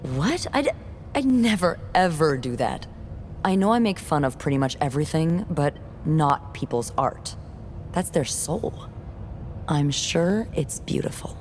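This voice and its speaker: An actress playing a wry, warm, teasing young hotel receptionist in a video game, with an expressive, conspiratorial way of talking. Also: female voice, low voice, unhurried, a little hiss or hum. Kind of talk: naturalistic video game acting.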